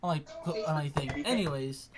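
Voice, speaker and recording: A mid-pitched voice chats informally about video games. The speaker is a stream co-host who talks in a halting way, often repeating words while working toward the point. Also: noisy background. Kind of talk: casual gaming chat.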